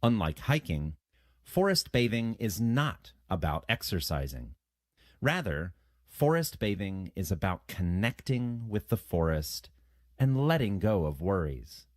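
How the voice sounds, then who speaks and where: A man reading short practice passages aloud as scripted narration, with careful, measured enunciation. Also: low voice, sing-song, dry recording, clean studio sound.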